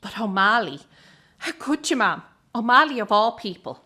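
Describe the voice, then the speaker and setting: A woman's voice: a little high, lively intonation, normal speed, sharp tone, breathy edge, heavily acted. A young woman acting in a radio drama as a hurt, resentful grown daughter, blunt and reproachful with her mother. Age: young adult.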